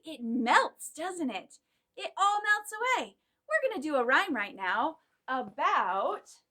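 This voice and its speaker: Lady doing kids storytime, really high voice, slow and sing-songy, super expressive. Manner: expressive, dramatic, with gasps and questions to children. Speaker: children's library storytime host. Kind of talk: animated picture-book reading and rhymes for young children.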